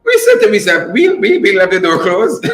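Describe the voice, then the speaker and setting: A man's voice: high voice, huge pitch swings, measured pace, a bit bright, echoey room. A man co-hosting a casual current-affairs chat, joking and laughing as he slips into dialect. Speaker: co-host.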